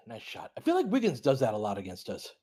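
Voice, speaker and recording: A man with a husky, slightly rough voice at a middling pitch hosts a casual basketball watch-along. He talks off the cuff in short bursts, mixing play-by-play with conversational asides to his listeners. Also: wildly swooping pitch, moderate pace, dark tone. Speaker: sports livestream host doing fan play-by-play. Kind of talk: casual running basketball commentary, chatting with listeners.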